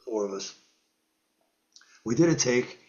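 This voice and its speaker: An older man on a video call from his home music room, telling lively stories as an interview guest, acting out quotes and sound effects and laughing often. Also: medium pitch, measured pace, dull audio. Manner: animated storyteller, acts out quotes and guitar sounds, laughs often. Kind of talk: storytelling in a casual interview. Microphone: video call webcam.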